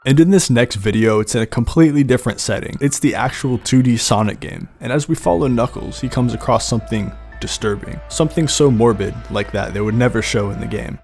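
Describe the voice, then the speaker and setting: A man's voice: medium pitch, fairly quick, hardly any pauses, a little mellow. A man narrates as a video host, with music playing behind him. He tells it like a story and slips in casual, conversational asides as he comments on horror footage. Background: background music.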